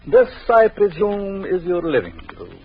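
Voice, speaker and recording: An actor playing a sinister, jealous doctor in a 1940s radio drama, smooth and coldly ironic. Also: male voice, high voice, huge pitch swings, measured pace, exaggerated voice. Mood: suave. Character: sinister jealous male doctor, suave and menacing. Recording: dull lo-fi old radio recording with faint hiss.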